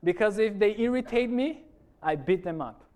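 Pastor, male, Brazilian accent, earnest preaching to a congregation; high and smooth. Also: animated, moderate pace, darkish tone. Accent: Brazilian Portuguese. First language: Portuguese. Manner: earnest, addresses congregation with frequent "amen?". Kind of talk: sermon testimony. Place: church.

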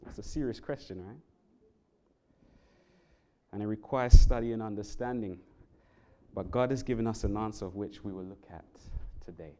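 A man from England with a London accent, preaching a sermon in a church. He speaks earnestly and climbs sharply in pitch and volume on key words. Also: normal speed, pauses often, dark tone. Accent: London English. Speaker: Bible worker preaching. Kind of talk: sermon with prayer and scripture reading. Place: church sanctuary.

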